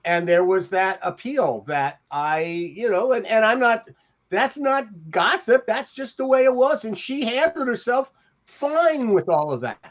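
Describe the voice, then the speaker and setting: Older guy w high voice rambling on a podcast video call, kinda muffled audio. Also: animated, measured pace. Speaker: podcast panelist, TV industry veteran. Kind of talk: casual reminiscing chat. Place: home room, on a video call.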